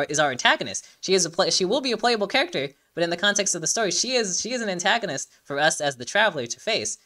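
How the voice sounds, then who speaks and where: A very quick, bright voice hosting a casual breakdown video. The delivery is enthusiastic and rambling, with phrases stumbled over and restarted as the thought forms. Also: medium pitch. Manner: rambling, stumbling, repeats phrases while searching for words. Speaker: video host. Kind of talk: casual reaction and breakdown commentary.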